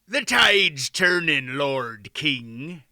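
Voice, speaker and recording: A man plays a bold storybook sea captain. He calls out bluntly in a loud, bright voice, slow and impatient to be moving. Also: a little high, very animated, dry recording, studio quality, exaggerated voice.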